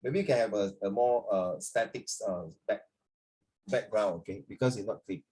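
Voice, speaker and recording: A man's voice: fairly low pitch, moderate pace, mellow, breathy edge, poor audio. A man with a Singaporean English accent, recovering from flu, teaching a social studies class over a video call, switching between English and Mandarin and checking in with students by name.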